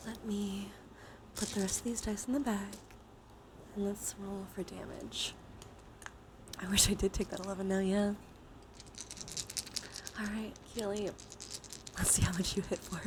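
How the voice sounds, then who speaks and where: A woman hosting a casual ASMR-style live stream game, soft-spoken with half-whispered phrase endings and a casually sweary way of talking. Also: mid-range voice, little pitch movement, a little slow, long pauses, background noise.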